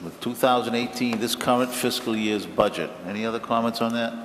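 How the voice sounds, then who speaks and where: A man chairing a school committee meeting, moving through the agenda in a brisk, procedural way. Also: medium pitch. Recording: echoey hall with faint noise.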